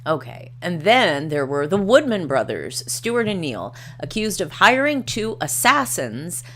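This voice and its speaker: A middle-aged woman with a low-pitched voice hosts a livestream. She moves between reading aloud and casual commentary, wandering off into wry, chatty asides. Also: very animated, unhurried, fairly bright tone. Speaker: livestream host.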